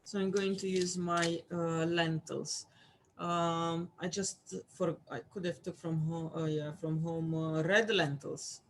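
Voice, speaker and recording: Craft teacher, takes it slow, lots of uhs and ums, chatty with viewers, faint noise behind. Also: medium pitch, fairly even intonation. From Romania. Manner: hesitant, many fillers, chatty asides to viewers. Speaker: art class instructor. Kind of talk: casual craft tutorial.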